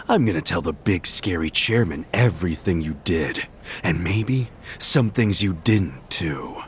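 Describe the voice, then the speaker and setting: A man's voice: low-pitched, a little hiss or hum. A man acting as a brash, scrappy, loyal yakuza brawler in a dubbed crime game cutscene. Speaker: protagonist of a crime drama game.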